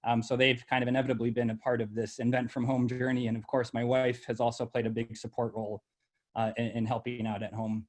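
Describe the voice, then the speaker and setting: A middle-aged man who was born in El Salvador and raised in Ohio, presenting his university lab's research from slides in a measured way, with frequent ums and uhs. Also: medium pitch, little pitch movement.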